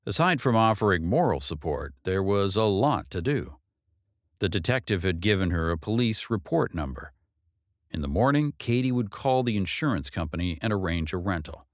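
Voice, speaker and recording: A man narrates a work of fiction in a low-pitched voice. His measured reading is expressive and moves freely up and down in pitch. The sound is dry, with very little room on it. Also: no echo. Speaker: audiobook narrator. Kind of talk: scripted narration of a novel.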